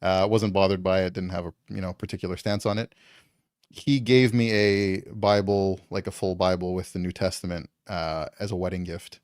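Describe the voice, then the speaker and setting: A man's voice: sits low, little pitch movement, moderate pace, darkish tone, clean tone, no echo, studio quality. A man from Canada, a guest in casual conversation, talking loosely with lots of ums and likes.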